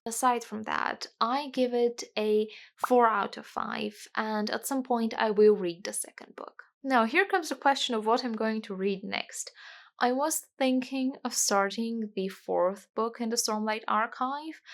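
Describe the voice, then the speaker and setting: A woman's voice: fairly high pitch, gravelly. A woman from Bulgaria, ranting animatedly and emphatically as a casual book vlogger. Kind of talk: casual book review rant.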